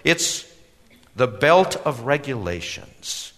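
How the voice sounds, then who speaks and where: A man voicing an oily, condescending clothing salesman with a lisping, drawn-out, affected delivery in a storybook tale. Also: medium pitch, sing-song, a bit bright, voice pushed up. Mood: persuasive. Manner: drawn-out, affected, mock-helpful. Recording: reverberant hall.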